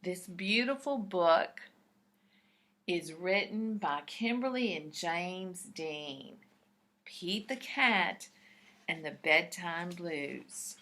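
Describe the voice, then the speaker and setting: A woman reading a picture book aloud to young children in an expressive, deliberate, explanatory way. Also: lowish voice.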